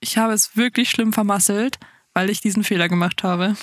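A woman whose first language is Afrikaans reads a funny online story aloud on a podcast, then chats about it in a giggly, easygoing way. Her voice sits at an ordinary middle pitch for a woman. It is recorded close and dry, with no room sound. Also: fairly even intonation, fairly quick, dry recording. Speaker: podcast co-host. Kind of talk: casual chat, reading a story aloud.

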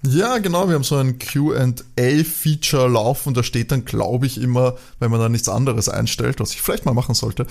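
Male co-host with a fry-laden mid-pitched voice, playful, dryly self-mocking, loose conversational delivery. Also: normal speed, few pauses, a little mellow. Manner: ironic, self-deprecating, playful. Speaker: podcast co-host. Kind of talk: casual banter.

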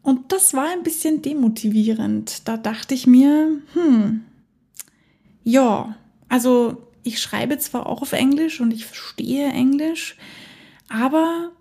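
A woman's voice: sits high, slow pace, mellow, slightly rough. A woman hosting her own podcast, talking alone in a chatty, enthusiastic way and laughing often. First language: German. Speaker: podcast host. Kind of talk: casual solo podcast monologue.

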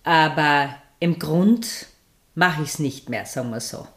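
A woman's voice: sits low, slow, fairly bright tone. A middle-aged woman from Styria with an Austrian accent, an actress chatting as a guest in an interview and telling stories with many tag questions. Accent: Styrian Austrian German. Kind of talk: casual interview chat.

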